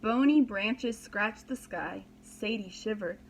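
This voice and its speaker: A woman's voice: mid-range voice, takes it slowly, vocal fry, low-quality recording. A woman reading a children's story aloud as a preschool teacher, dramatically and expressively, with simple explanations for young listeners.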